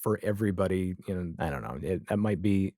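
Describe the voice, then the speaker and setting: A man chatting casually as a podcast guest, telling stories in a halting, conversational way. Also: deep voice, mellow, dry recording, clean studio sound. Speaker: podcast guest, audio drama creator. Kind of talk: casual chat.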